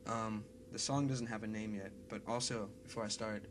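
A congested young man with a cold introduces his song demo in a quiet, mumbled, quick casual way, talking into a webcam in a small bedroom. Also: on the low side, steady tone, noisy background. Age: young adult. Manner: quiet, mumbled, fast and filler-heavy talk. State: congested with a cold and sore throat. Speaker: singer-songwriter. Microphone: webcam close up. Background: acoustic guitar.

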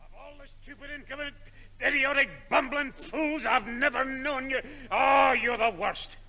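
A man's voice: very high-pitched, steady tone, moderate pace, gravelly, bright, forceful tone, exaggerated voice. A man playing an irascible, blustering old grandfather with a Scottish accent in a 1960s radio sitcom. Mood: furious.

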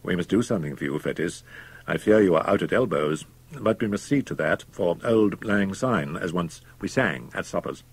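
A man's voice: low-pitched, animated, moderate pace, gravelly, husky, full character voice. A man voicing a pompous, uneasy elderly London doctor in a gothic audiobook, genteel and patronizing but flustered underneath. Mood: effusive, placating. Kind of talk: dramatized audiobook of a gothic tale.

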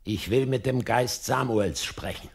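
A man's voice: medium pitch, measured pace, vocal fry, husky, long reverb tail. A man playing a troubled, commanding king in a dramatic radio play, imperious and urgent. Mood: insistent.

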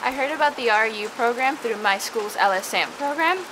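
A young woman from the Dominican Republic, a student researcher, talking eagerly to camera in a casual interview outdoors among tropical plants. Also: a little high. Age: young adult. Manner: eager, bubbly, quick restarts. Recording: steady rain noise behind.

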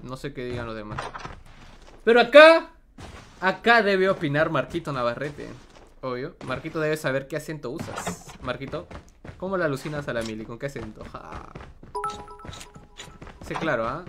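A man's voice: fairly high pitch, animated, normal speed, long pauses, a bit bright, soft background music. A man, streaming a game live, chatting casually and greeting viewers by name. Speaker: gaming streamer. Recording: background noise.

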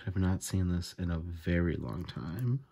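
A man's voice: sits low, animated, unhurried, gravelly, mellow, slightly rough. A man hosting a casual unboxing vlog, enthusiastic and full of small fillers as he goes through his purchases. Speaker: video host. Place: indoors at a table, off camera.

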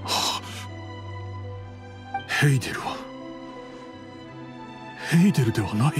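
A man's voice: mid-range voice, lively intonation, slow, over music. A man voicing an anxious, devoted father in a game, hesitant and emotional in his delivery. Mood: bewildered. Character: anxious, devoted adult father, bewildered and troubled. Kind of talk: scripted Japanese game voice acting.

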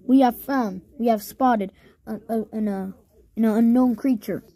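A woman's voice: fairly high pitch, unhurried, warm tone. A woman improvising a dramatic toy role-play, narrating and speaking for the toys with childlike exclamations. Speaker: narrator and puppeteer of a plush-toy horror skit.

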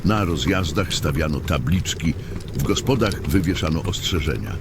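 A man playing a father who tells a dark fantasy tale in a measured, explanatory storytelling manner. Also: rumbling low, animated. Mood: calm, explanatory. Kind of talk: fantasy audio drama narration. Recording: loud vehicle-like ambient noise behind.

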